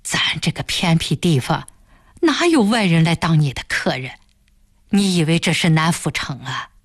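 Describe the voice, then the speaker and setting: Woman doing a low, disapproving old village father. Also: unhurried, breathy edge, no echo, clean studio sound. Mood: stern disapproval. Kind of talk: audiobook character voice.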